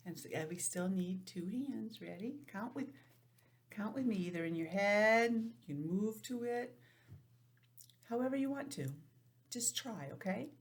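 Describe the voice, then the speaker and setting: Slow-talking woman teaching young children. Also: mid-range voice, expressive. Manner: informal, encouraging, sounds out letters and counts deliberately. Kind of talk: children's lesson, read-aloud introduction.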